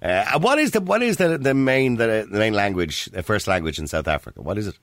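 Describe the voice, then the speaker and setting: Fast-talking deep-voiced Irish radio host. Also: male voice, big pitch swings, fairly bright tone, no room sound, clean studio sound. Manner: conversational, chatty, emphatic. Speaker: radio talk show host. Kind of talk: talk radio phone-in. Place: radio studio.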